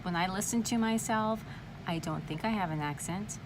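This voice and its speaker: A woman's voice: mid-range voice, moderate pace. A woman from California with a slight lisp, talking casually to camera in a chatty, dramatic way and swearing freely. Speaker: vlogger. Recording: noisy background.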